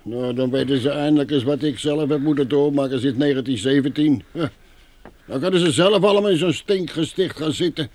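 A man's voice: a little high, fairly quick, a little mellow, close to an everyday voice. An actor playing a blunt, self-reliant, sardonic blind older man in a radio drama. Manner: grumbling, blunt.

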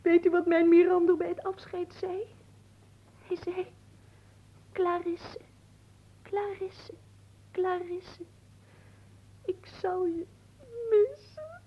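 Very high, weepy lovelorn maiden. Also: female voice, slow pace, pauses often. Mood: sobbing. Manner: plaintive, melodramatic. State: crying. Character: lovelorn, weepy young woman. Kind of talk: theatrical radio drama acting. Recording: dull old radio recording.

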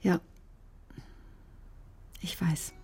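An actress playing a brusque, sardonic emergency doctor who recounts a case in clipped, matter-of-fact radio drama narration. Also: female voice, very slow, mellow. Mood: sober, matter-of-fact. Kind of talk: German radio drama, dry first-person narration.

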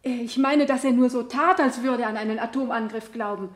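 A female actor plays an anguished wife who feels betrayed, speaking in a high voice. She testifies haltingly yet insistently, full of emotion, in the manner of a vintage radio drama. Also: lightly acted. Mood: grave, remembering. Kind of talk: 1970 German radio play acting.

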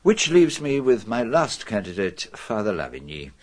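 An actor playing a self-assured, methodical detective in a radio drama, speaking formally with dramatic emphasis. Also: male voice, lowish voice, sing-song, moderate pace. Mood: measured, probing. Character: famous male detective, methodical, self-assured, theatrical in revealing a murderer.

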